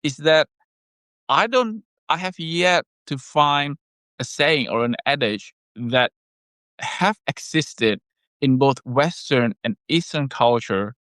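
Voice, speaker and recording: A man whose first language is Indonesian, co-hosting a casual podcast chat and explaining things in a measured way with frequent pauses and fillers. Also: medium pitch, expressive, fairly bright tone, dry recording. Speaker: health product maker and podcast co-host.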